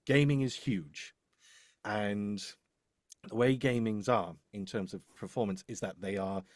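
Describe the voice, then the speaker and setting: A man's voice: lowish voice, fairly even intonation, unhurried, a little mellow, dry recording, clean studio sound. A man chatting casually and explaining his work, hesitant and rambling as he thinks aloud. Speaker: voice-over demo producer.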